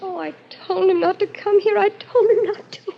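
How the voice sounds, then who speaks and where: An actress playing a devoted, stubborn young wife in a radio melodrama, fervent and pleading, on a muffled, noisy old recording. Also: female voice, extremely high pitch, fairly even intonation, moderate pace, gravelly. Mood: anxious. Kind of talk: 1940s radio melodrama acting.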